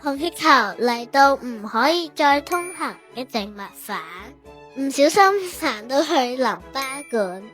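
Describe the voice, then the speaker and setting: A woman's voice: high-pitched, animated, measured pace, fairly bright tone, soft background music. A woman with a Hong Kong Cantonese accent narrating a manga story expressively, like a storybook reader.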